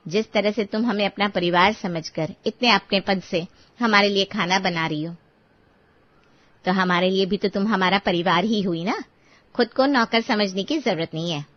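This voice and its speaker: Kindly female household employer acted by a woman, medium pitch, reassuring and polite, cartoon story, dry but slightly dull audio. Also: moderate pace, no room sound, close to an everyday voice. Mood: caring, insistent. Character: kind, appreciative lady of the house, caring employer.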